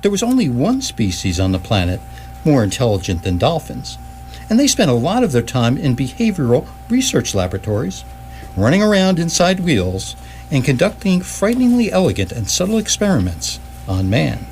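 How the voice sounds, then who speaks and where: A man's voice: high voice, a little mellow. A man giving scripted comic narration as the storyteller of a radio drama. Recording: clearly noisy recording with hum.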